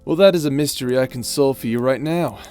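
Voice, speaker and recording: Guy acting a flirty sentimental space pirate, soft confiding asmr roleplay, music behind him. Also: medium pitch, fast talker, lightly acted. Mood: wistful, playful.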